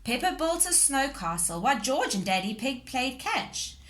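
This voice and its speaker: A woman's voice: high voice, very animated, moderate pace, fairly bright tone. A woman reading a scripted children's story aloud as narrator. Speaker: storybook reader.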